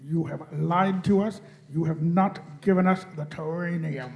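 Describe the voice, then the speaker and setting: A man's voice: a little high, steady tone, measured pace, gravelly, dull audio. A man voicing a cold, commanding alien machine leader in a sci-fi radio play, making grating, imperious pronouncements. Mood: accusing.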